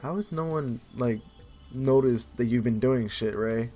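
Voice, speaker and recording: A man's voice: medium pitch, measured pace, dark tone. A man doing casual let's play commentary, reading game narration aloud and adding informal, joking asides. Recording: faint game music behind.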